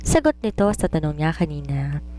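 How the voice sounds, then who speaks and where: A woman's voice: low voice, sing-song, normal speed, mellow. A woman reading a romance story aloud as a scripted narrator. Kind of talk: scripted narration of a romance novel, voicing the dialogue.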